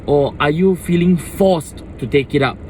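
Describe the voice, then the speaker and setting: A man's voice: a little high, measured pace, a little mellow, a little hiss or hum. A young Malaysian man with a Malaysian accent, talking to the camera in a fired-up casual rant and mixing in Malay words. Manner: impassioned, switching between English and Malay. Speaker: vlogger.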